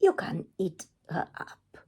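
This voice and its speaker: A woman's voice: takes it slowly, darkish tone, natural voice. A woman voicing an exasperated, scolding female librarian in a children's storybook. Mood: blubbering. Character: exasperated female librarian, blubbering then sighing critic.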